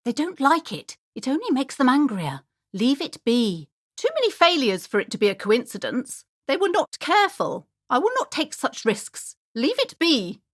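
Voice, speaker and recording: A high, swooping voice for a prim middle-aged bookshop owner. She is a strict, superstitious mother with a haughty, easily offended manner. Her delivery is theatrical video game acting, full of emphatic turns. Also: a bit bright, heavily acted. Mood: superstitious, warning.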